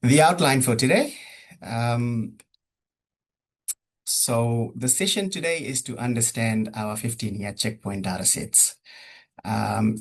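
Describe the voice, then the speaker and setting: A man with a New Zealand accent presenting a research data workshop over a webinar, hesitating often with ums and uhs. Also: mid-range voice.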